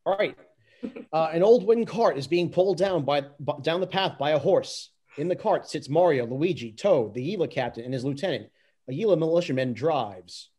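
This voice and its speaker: A man reading stage directions in a plain, slightly halting way at an amateur script reading. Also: a little high, animated, mellow, slightly rough.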